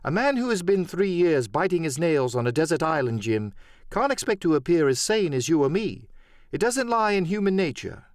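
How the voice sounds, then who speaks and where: A man acts out a kindly, brisk ship's doctor of the eighteenth century for an audiobook. The English gentleman's voice sits high and moves about in lively swoops. He lectures in an educated, emphatic way with wry good humour. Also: no room sound, studio quality. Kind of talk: audiobook character acting.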